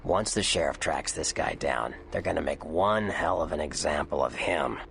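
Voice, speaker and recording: An actor playing a worldly, sardonic old vampire informant in a video game, gravelly, with a dry, wry, slangy way of explaining things. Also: male voice, a bass voice, normal speed, fairly bright tone, slight background noise, heavily acted. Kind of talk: video game character dialogue.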